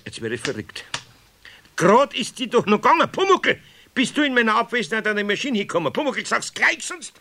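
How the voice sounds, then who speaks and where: An actor playing a grumpy, easily flustered older craftsman with a Bavarian accent and a gravelly voice, muttering and scolding in a children's radio play. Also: sits high, big pitch swings, brisk, bright, forceful tone, full character voice. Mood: muttering, baffled, then angry scolding. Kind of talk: 1970s German children's radio play acting. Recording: faintly noisy 1970s radio play tape.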